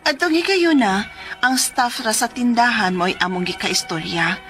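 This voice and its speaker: A young woman with a Cebuano accent , played by a radio actress, tells her troubles in a high voice. She is chatty, excitable and aggrieved, in radio drama style with music behind her. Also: moderate pace, natural voice. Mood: indignant. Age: 20s. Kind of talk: Filipino radio drama acting and narration.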